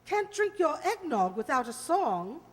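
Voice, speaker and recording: A woman's voice: high-pitched, very animated, a little slow. A woman playing a hearty, exclamatory upper-class lady in an amateur radio play. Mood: brisk, bossy. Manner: grand, exclamatory society talk. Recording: reverberant hall-like radio play recording.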